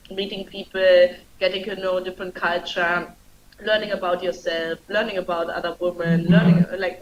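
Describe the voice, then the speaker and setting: A woman's voice: lowish voice, noisy background. A woman hosting a live show in a chatty, loose way, full of fillers. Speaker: show host.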